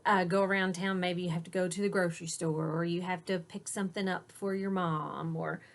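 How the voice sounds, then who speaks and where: A woman teacher reading a picture book aloud to children, pausing for simple explanatory asides. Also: fairly low pitch, fairly even intonation.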